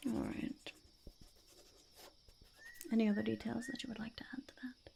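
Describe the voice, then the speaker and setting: A woman voicing a quirky, scheming goblin interviewer in a whispered ASMR roleplay, halting and muttering, often repeating phrases. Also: on the high side, level tone, background noise. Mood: prying, curious. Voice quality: breathy whisper with heavy creak. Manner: halting, muttering, repeats phrases, speaks of self in third person.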